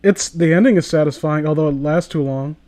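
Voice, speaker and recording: Sarcastic, higher-voiced man riffing casually. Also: brisk, warm tone. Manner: sarcastic, foul-mouthed. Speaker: host of a comedy reading channel. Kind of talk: casual riffing commentary while reading a story aloud.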